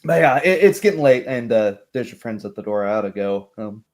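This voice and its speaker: A man's voice: lowish voice, animated, a little fast, a little mellow, lightly acted. A man voicing a hesitant, plain-spoken young commoner in a tabletop fantasy game. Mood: hesitant, matter-of-fact.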